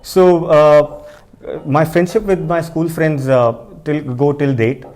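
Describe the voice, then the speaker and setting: A man from Punjab, India, with a Punjabi accent, answering conversationally as an interview guest and often rephrasing himself haltingly. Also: a little high, steady tone, darkish tone. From Jalandhar, Punjab, India.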